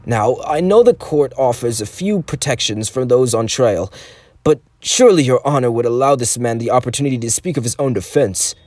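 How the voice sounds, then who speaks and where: A man voicing an elderly, gentle male defense lawyer who speaks in a pious, pleading way. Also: mid-range voice, lively intonation, fairly quick, no echo, close to an everyday voice. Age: older.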